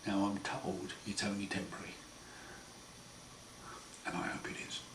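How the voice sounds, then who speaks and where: A man with a croaky, hoarse voice after throat surgery, talking slowly and wryly in a casual home video diary. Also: on the low side, completely flat delivery. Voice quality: hoarse, partly breathy. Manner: slow, wry, plain-spoken, often pausing. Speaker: patient sharing his recovery. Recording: roomy echo, far mic, noisy.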